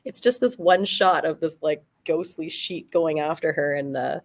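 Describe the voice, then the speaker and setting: A woman's voice: deep voice, moderate pace. A woman speaking as a panel guest in a casual debate, in a hesitant, loosely rambling way. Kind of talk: casual debate about horror films.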